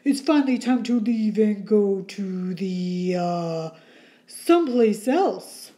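A woman voicing a loud, sly father dinosaur in a booming, theatrical storybook voice. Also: lively intonation, slow pace. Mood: sly excitement.

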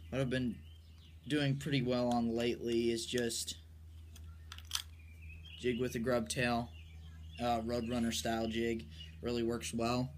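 Slow, even-toned young man outdoors. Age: young adult, late teens or early twenties. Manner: halting, low-key, trailing off while handling items. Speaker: amateur angler presenting his tackle. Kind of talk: casual unscripted show-and-tell. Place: covered wooden porch outdoors. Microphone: phone or camera at arm's length. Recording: noticeable outdoor background noise.

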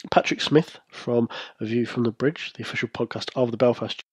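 A man's voice: medium pitch, steady tone, husky, no echo. Man with a cold, dry close mic. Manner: measured, analytical, occasional fillers. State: congested from a cold, snuffly and croaky. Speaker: podcast host. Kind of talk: solo hockey news commentary.

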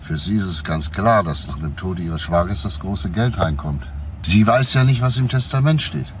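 Man playing police detective, deep voice, composed, probing, deliberate, radio crime drama, slight background noise. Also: close to an everyday voice. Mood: explaining. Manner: probing, deliberate, clipped questions. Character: composed, persistent male police detective questioning witnesses. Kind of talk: German crime radio play acting.

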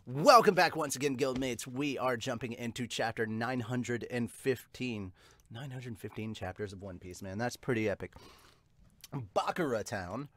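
A man in his thirties hosting a casual reaction, amused and animated, speaking into a close desktop microphone at home. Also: medium pitch, normal speed, no echo. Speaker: host of a manga dub and reaction channel. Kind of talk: casual reaction chat mixed with dramatic read-aloud. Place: small room at home with bookshelves and a couch. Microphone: close desktop studio microphone.

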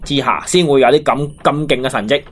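A man with a Hong Kong Cantonese accent, co-hosting satirical banter in a mock-solemn, deadpan way. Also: mid-range voice, brisk, slight background noise. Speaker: co-host.

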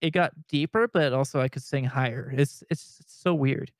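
A young man from Canada chatting as a podcast co-host, rambling with many restarts and nervous laughs, with a fairly high-sounding voice. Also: moderate pace, creaky, no room sound, studio quality. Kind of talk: casual podcast chat.

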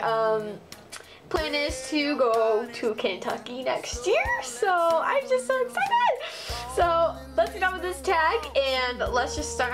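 A young woman with a Southern Ohio twang, chatting casually to her webcam in a small bedroom, bubbly and gushing, full of ums and likes. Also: sits high. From Midwest United States. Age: young adult. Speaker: vlogger. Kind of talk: casual chat to camera. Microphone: built-in webcam mic.

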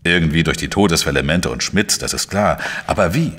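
An actor playing a world-weary, wry male investigative journalist, narrating a crime audio drama in a dry, measured way. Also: very deep voice, fairly bright tone. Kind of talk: scripted first-person crime drama narration. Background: music.

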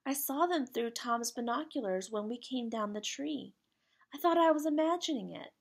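A curious, excitable girl in a children's storybook, read by a woman. The voice is raised and eager, with a questioning lilt and a slightly creaky grain. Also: high voice, moderate pace, pitched above the performer's own voice, exaggerated voice. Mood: excited.

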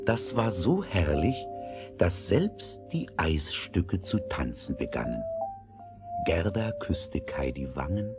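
A man narrating a scripted fairy tale in a measured, expressive storytelling manner. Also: low voice. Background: music.

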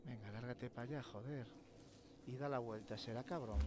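A man's voice: medium pitch, moderate pace, noisy background. A man with a Castilian accent from Spain gives casual, sweary horror game commentary, muttering to himself. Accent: Castilian Spanish from Spain. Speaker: gamer, host of a let's play series. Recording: loud game ambience throughout. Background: loud horror game ambience.

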